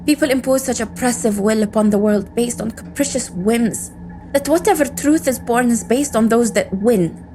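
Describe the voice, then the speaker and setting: A woman's voice: a little high, moderate pace, warm tone, a little hiss or hum, close to an everyday voice. A woman playing an embittered, defiant agent in an audio drama, with a world-weary, intense, sardonic delivery. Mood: bitter, exasperated. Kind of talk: scripted audio drama monologue and dialogue.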